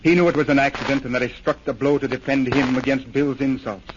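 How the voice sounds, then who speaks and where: An actor playing a grieving, devout war veteran in an earnest, solemn 1930s radio drama style. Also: male voice, medium pitch, fairly even intonation, fast, close to an everyday voice. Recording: noisy, dull 1930s radio recording.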